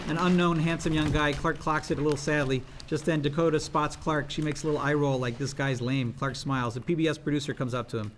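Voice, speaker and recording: Fast-talking man reading stage directions. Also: on the high side, steady tone, slight background noise. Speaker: stage-direction reader at a script table read. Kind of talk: scripted narration read aloud.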